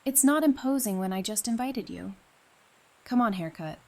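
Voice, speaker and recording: A woman's voice: fairly high pitch, animated, moderate pace, warm tone. A woman playing a wry, friendly, easygoing survivor in casual audio drama dialogue. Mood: casual, inviting. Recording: noticeable background ambience and noise.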